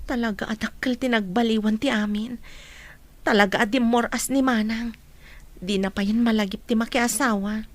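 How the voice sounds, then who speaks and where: A woman's voice: fairly high pitch, steady tone, moderate pace, no echo, lightly acted. A woman acting an emotional, respectful grown daughter with a Filipino accent in a radio drama. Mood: wry.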